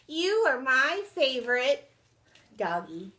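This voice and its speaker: Lady doing a sweet playful kid who loves her pets, highish swoopy storybook voice. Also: very animated, slow, sharp tone, heavily acted. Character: cheerful, affectionate little girl pet owner.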